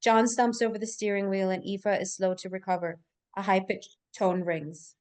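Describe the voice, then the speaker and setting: A woman's voice: medium pitch, flat delivery, normal speed, a little mellow. A woman reading scripted stage directions as narrator at a live table read, in full descriptive sentences.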